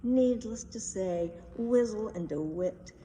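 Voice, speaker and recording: A woman's voice: mid-range voice, expressive, slow pace, mellow. A woman reading a children's storybook aloud with dramatic, emphatic delivery. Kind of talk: expressive children's storybook narration. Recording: distant mic, echoey hall, faint noise.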